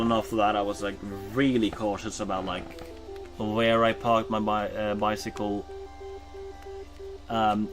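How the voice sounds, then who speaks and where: A Norwegian man chatting casually while streaming a game, rambling with many ums and uhs and correcting himself. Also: lowish voice. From Norway. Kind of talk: casual chat while gaming.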